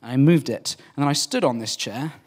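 A man's voice: mid-range voice, brisk, a little mellow, recorded from a distance. Chatty Welsh-born man telling a story in an echoey room. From Wales. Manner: chatty, self-deprecating, addresses listeners as folks. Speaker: storyteller on stage. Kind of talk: comic storytelling to an audience.

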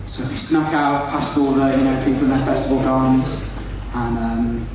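A man's voice: medium pitch, level tone, moderate pace, very echoey, distant mic, a crowd in the background, low-quality recording. A young Welsh-born man whose first language is Welsh, telling a comic story to an audience in a chatty, self-deprecating way and calling his listeners folks. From Wales. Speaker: storyteller on stage.

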